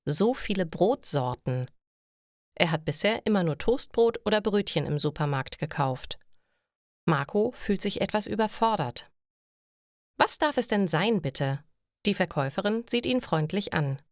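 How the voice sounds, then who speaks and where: A woman's voice: lowish voice, animated, moderate pace, darkish tone, no room sound. Woman reading a learner's story, dry studio sound. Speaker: narrator of German listening lessons. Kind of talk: scripted narration of a short learner story.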